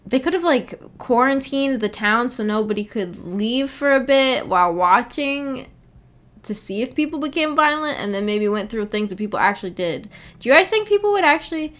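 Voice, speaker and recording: A woman's voice: sits high, a bit bright. A woman hosting a livestream in a casual, rambling way, hesitant as she thinks aloud. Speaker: livestream host.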